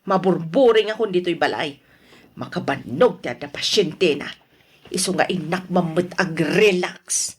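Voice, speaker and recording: A woman with an Ilocano accent playing a worried, fed-up, sharp-tongued wife in a radio drama. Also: lowish voice, a little slow, breathy edge. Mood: scolding, defiant.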